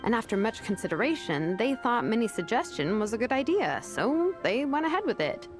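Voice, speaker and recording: A woman voicing a laid-back, wry young female vice captain who talks in a relaxed, teasing way. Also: fairly high pitch, a little fast. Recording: game cutscene with background music.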